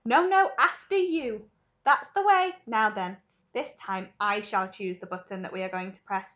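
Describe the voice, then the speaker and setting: A woman's voice: mid-range voice, level tone, fairly quick, bright, forceful tone, muffled recording, close to an everyday voice. A woman voicing an exuberant, eccentric man who owns a chocolate factory, gushing and repeating himself eagerly in a storybook reading. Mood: eager, bustling. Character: exuberant, eccentric male chocolate-factory owner, gleefully excitable.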